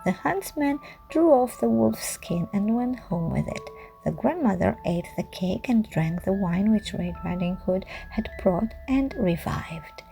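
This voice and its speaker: Female children's storyteller: unhurried, animated intonation, hushed endings, music underneath. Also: mid-range voice, gravelly, rough recording. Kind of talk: expressive children's fairy tale narration.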